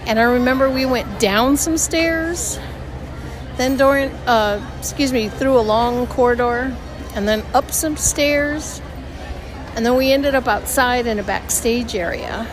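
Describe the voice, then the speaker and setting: A woman hosting a podcast, telling a story in a casual, chatty way, her voice hoarse and her throat tight. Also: a little high, unhurried. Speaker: podcast host. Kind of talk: casual theme-park audio diary. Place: outdoors on a theme park street. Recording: outdoor park recording with music and crowd. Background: band music and crowd.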